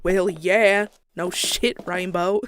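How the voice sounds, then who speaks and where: Woman voicing a slow-drawling Southern farm pony mare. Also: lowish voice, fairly even intonation, slight background noise. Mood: dry. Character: feisty, folksy farm pony mare, blunt and fretful. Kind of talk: cartoon comic dub with scripted narration. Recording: music behind the voice.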